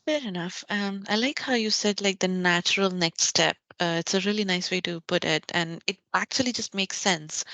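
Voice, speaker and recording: A woman hosting a podcast, asking interview questions in a relaxed, friendly way. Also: on the low side, no room sound, rough recording. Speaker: podcast host.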